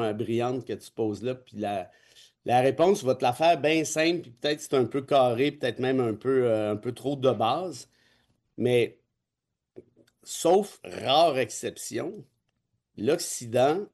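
A man's voice: medium pitch, a little slow, a little mellow. Blunt, cynical Québécois man. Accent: Québécois French. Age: 40s. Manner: emphatic, cynical, colloquial. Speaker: guest, constitutional law expert. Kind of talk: casual opinion talk.

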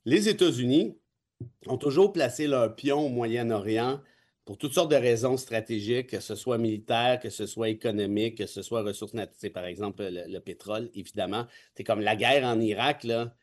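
A man's voice: mid-range voice, moderate pace, darkish tone. A man in his forties with a Québécois accent, a constitutional law expert giving his views in emphatic, cynical, colloquial talk. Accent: Québécois French. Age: 40s. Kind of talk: casual opinion talk.

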